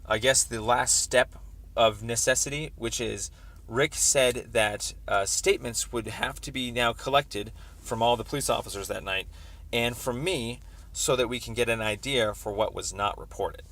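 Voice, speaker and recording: A man on a recorded phone call explaining a complaint as a caller, in a halting, wordy, roundabout way. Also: mid-range voice, fairly bright tone. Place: telephone call.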